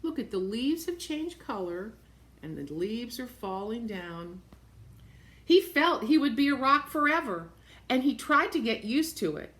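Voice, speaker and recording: A woman reading a picture book aloud to young children, expressively, pausing to point things out in simple words and repeating phrases. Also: a little high, big pitch swings, fairly bright tone. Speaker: storybook reader for young children.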